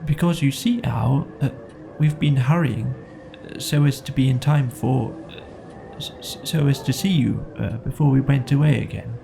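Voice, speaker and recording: A man's voice: mid-range voice, a little slow, vocal fry, background noise. A man voicing a friendly, bumbling bear who is polite and stammers with hesitation. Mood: flustered, friendly.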